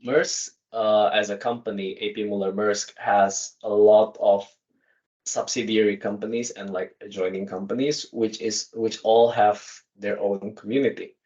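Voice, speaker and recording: A man from Indonesia, in his thirties, talks as a podcast guest in an office meeting room. His voice sits fairly low and he speaks in quick, chatty bursts full of fillers and restarts. The sound is dull and lo-fi. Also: steady tone, darkish tone. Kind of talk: casual conversational interview.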